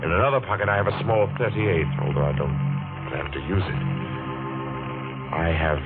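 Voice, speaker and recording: Brooding, vengeful radio-mystery repairman, dramatically swooping low voice. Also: lively intonation. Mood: cold resolve. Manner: deliberate, dramatic, halting when speaking to others. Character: brooding, vengeful middle-aged clock repairman, coolly plotting murder. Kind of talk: 1940s radio mystery acting, first-person narration. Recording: dull vintage radio recording with music.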